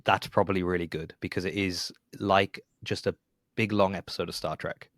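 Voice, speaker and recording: A man with a fairly low voice, recorded close and dry. He hosts a casual podcast, rambling along chattily with a wry, self-aware manner. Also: steady tone, moderate pace, a bit bright, dry recording. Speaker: podcast host.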